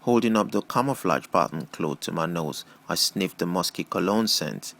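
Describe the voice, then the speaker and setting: A man narrates a first-person story as an audiobook reader. His voice sits low and moves with very lively intonation through the scripted prose. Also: normal speed, vocal fry.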